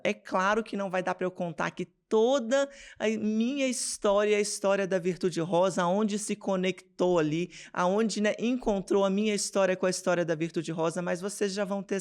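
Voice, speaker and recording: Smooth-voiced Brazilian woman hosting a faith podcast. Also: medium pitch, normal speed, a little mellow. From Minas Gerais, Brazil. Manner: chatty, addresses listeners directly as girls and friends. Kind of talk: devotional podcast talk with prayer. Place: home recording studio.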